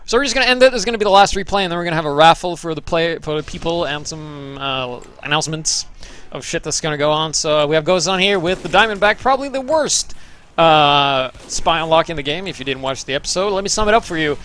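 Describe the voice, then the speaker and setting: A man's voice: a little high, fast, sharp tone, clean tone. A young man from Norway giving loose, profane, sarcastic commentary over gameplay replays as the show's host. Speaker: game commentator.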